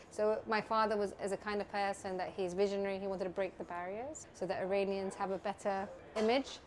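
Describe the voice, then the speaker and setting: Steady, factual businesswoman. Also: medium pitch, a little hiss or hum. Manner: steady, factual, prompts her sister. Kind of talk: interview conversation.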